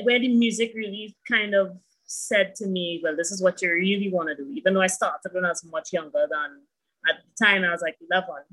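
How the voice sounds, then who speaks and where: A woman in her thirties with a bright, forceful tone. She answers as an interview guest, taking her time and listing things off at length. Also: fairly low pitch, rough recording. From Trinidad and Tobago. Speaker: guest musician. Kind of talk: casual interview chat.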